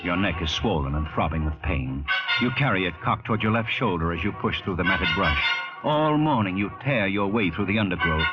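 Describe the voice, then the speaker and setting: A man acting a grave, intense narrator in an old-time radio drama, deliberate and theatrical, with an ominous manner. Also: low voice. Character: grave, intense second-person storyteller narrator. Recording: muffled vintage radio recording.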